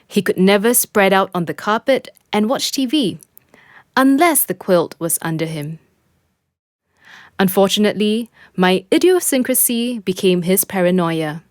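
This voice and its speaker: A woman reads a scripted, reflective personal piece for a podcast. Her voice sits at an average pitch for a woman. The recording is very dry, with hardly any room sound. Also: expressive, measured pace, dry recording. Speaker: podcast reader and host.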